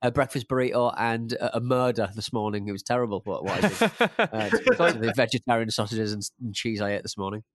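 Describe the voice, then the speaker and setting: A man with a mid-pitched voice rambles comically as a podcast panellist. He is silly and self-mocking, and the sound is close and dry. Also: animated, quick pace, few pauses, no echo. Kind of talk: comic casual chat.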